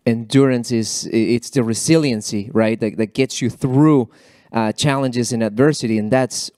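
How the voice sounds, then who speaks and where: A man's voice: medium pitch, steady tone, moderate pace, a little mellow, no room sound. Panel guy whose first language is spanish, repeats words a lot, earnest, dry mic sound. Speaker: alumni panelist. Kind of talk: panel discussion remarks. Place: college event panel.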